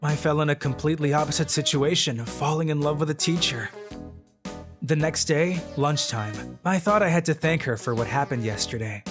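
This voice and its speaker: A dry, secretly brilliant schoolboy, voiced by a man, talking through his thoughts in a flat, wry monologue. Music plays clearly underneath. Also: on the high side, lightly acted. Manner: deadpan inner monologue. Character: dry, secretly genius teenage boy student.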